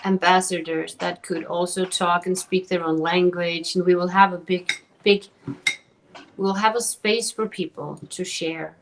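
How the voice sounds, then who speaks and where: A woman leading an online meeting, speaking in a brisk, organizing way. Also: on the low side, fairly even intonation, a little hiss or hum, rough recording. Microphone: video call.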